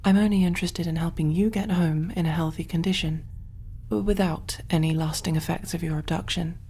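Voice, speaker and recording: Woman playing a hushed, mellow, kindly alien medic. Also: fairly low pitch, fairly even intonation, a little fast, slightly rough. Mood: calm, reassuring. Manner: gentle, hushed, reassuring, often near whisper. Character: kind, soothing female alien medic, calm and clinical. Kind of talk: ASMR sci-fi roleplay, soft-spoken.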